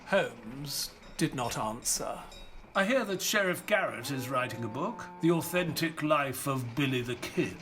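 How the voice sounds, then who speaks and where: A man's voice: mid-range voice, animated, a little slow, bright, forceful tone, soft background music. An actor playing an earnest, curious doctor who narrates the story and questions his companion.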